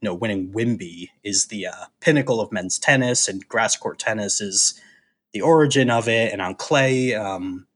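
Slightly husky man discussing sports. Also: fairly low pitch, moderate pace, a bit bright. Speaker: podcast co-host. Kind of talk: casual opinionated sports discussion.

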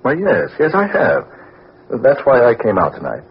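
An actor playing a smooth-talking crooked lawyer, measured and calculating, in an old-time radio drama. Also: male voice, medium pitch, wildly swooping pitch, exaggerated voice. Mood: oily, deferential. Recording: muffled, noisy vintage radio drama recording.

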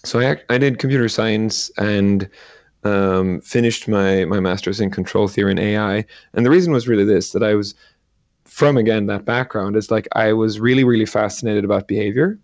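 Low-voiced man, lo-fi line. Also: normal speed, darkish tone, no echo. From Sweden. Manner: thoughtful, hesitant, many fillers like "like" and "um". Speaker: entrepreneur and angel investor. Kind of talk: interview guest.

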